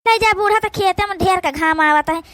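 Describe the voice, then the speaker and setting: A woman's voice: very high voice, a little fast, fairly bright tone, no echo. A woman voicing brash, indignant village daughters-in-law in an Awadhi cartoon comedy, scolding and arguing in a rustic manner. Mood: argumentative.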